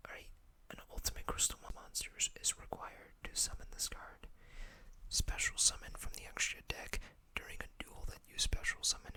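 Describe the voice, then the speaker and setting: An ASMR host whispering softly and without hurry, reading out card text and adding casual asides.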